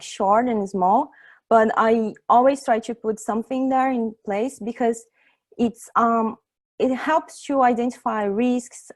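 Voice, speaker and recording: A woman in her thirties from Brazil with a Brazilian Portuguese accent, giving an informal tech meetup presentation in a chatty way, often pausing on "um" and checking in with "right?". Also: medium pitch, darkish tone. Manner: chatty, frequent "um" and "right?" tags.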